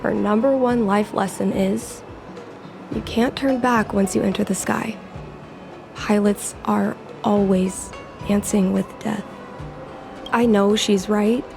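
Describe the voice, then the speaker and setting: A woman's voice: medium pitch, takes it slowly, vocal fry, pauses often. A woman playing a spirited, earnest young fox-woman who dreams of piloting, in a video game. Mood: earnest, determined. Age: young adult. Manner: perky, expressive, earnest. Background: music.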